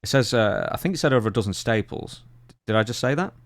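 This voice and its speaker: A male podcast co-host talks in a fairly low voice with very little room sound. He lays out news items and questions in a casual, conversational way. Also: animated, fast, gravelly, dry recording, clean studio sound.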